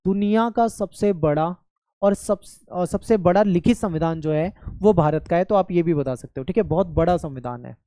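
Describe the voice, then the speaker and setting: Patient study-channel teacher, mellow voice, dry close recording, explains point by point with constant check-ins. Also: medium pitch, moderate pace, no room sound. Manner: mixes English terms into the explanation. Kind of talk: classroom lecture explaining a textbook chapter.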